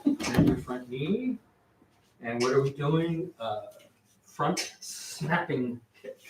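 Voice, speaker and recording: A man with a low baritone voice leads a children's karate drill, counting steadily and correcting stances at an unhurried pace. He is heard from across a large, reverberant hall with steady background noise. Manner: slow, counts drills aloud and corrects form. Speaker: guest karate instructor. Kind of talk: calling drills in a kids karate class. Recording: echoey hall, far from mic, noisy.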